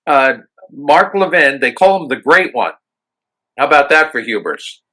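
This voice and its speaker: Halting American man hosting a radio interview. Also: medium pitch, big pitch swings, pushed and bright, rough recording. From United States. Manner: halting, many fillers and restarts. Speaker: radio show host.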